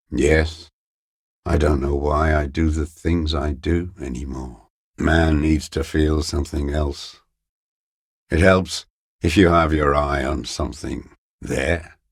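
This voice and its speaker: An actor playing a bitter, paranoid old communist deserter in a video game, with a weary, gravelly voice and a halting, brooding, contemptuous mutter. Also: male voice, a bass voice, no echo, clean studio sound, exaggerated voice. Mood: weary, confessional. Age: older. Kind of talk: video game character voice acting.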